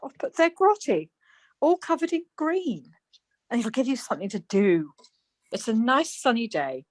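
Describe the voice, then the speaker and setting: An actress playing a dry, sarcastic, teasing middle-aged wife in an amateur radio play. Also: female voice, a little high, dry recording.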